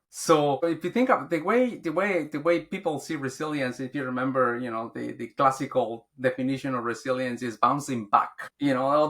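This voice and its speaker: A man's voice: medium pitch, pushed and bright. A middle-aged man, a podcast guest speaking earnestly and often restarting his words. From Guatemala. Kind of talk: reflective interview talk.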